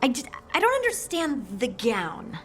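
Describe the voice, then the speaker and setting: A woman's voice: high-pitched, wildly swooping pitch, moderate pace, a bit bright, soft background music, heavily acted. An actress playing a practical, outspoken young outdoorswoman in a video game, plain-spoken and friendly with teasing exasperation. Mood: exasperated, teasing. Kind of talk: video game cutscene acting.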